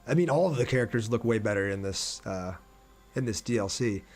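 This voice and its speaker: A man's voice: on the low side, a little fast. A young man giving casual, enthusiastic livestream commentary on a game and chatting informally with his viewers. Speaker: game streamer. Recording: game music behind the voice. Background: game music.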